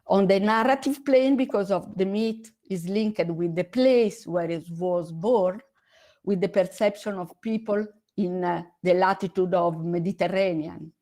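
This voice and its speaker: A woman from Italy with an Italian accent, giving a lecture over a video call in halting, non-native English with many pauses. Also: medium pitch, mellow. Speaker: guest lecturer. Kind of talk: online conference presentation.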